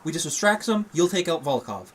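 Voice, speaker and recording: A man's voice: fairly high pitch, big pitch swings, brisk. A man playing a small, scrappy young gang kid, youthful and uneasy, in a crime radio drama. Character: small scrappy late-teen male gang kid, uneasy but quick-witted. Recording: faint background noise.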